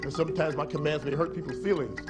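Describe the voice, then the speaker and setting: A man voicing a humble, wise king in a folk tale, speaking in a measured, earnest way. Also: a little high, loud music behind the voice, close to an everyday voice.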